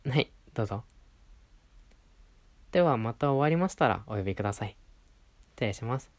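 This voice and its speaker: A man acts out a male clothing store clerk in a situation-voice roleplay. He has a mid-pitched voice with lively intonation. He speaks in courteous customer-service style, attentive to the customer he is addressing. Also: normal speed, lightly acted. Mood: helpful, friendly. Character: polite, attentive male clothing shop assistant serving a regular. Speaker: voice performer in a situation voice audio.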